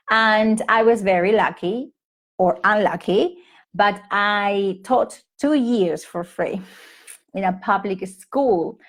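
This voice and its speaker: Slow-talking Peruvian woman teaching English. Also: medium pitch. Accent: Peruvian Spanish. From Peru. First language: Spanish. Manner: chatty, animated asides with fillers and restarts. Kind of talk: casual live-stream talk to teachers. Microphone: laptop or phone mic on a live stream.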